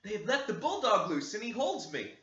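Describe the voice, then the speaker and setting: A man's voice: extremely high pitch, expressive, slow, distant mic, voice pushed up, full character voice. A man voicing a brave young girl speaking urgently, in a dull echoey recording. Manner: whispering urgently.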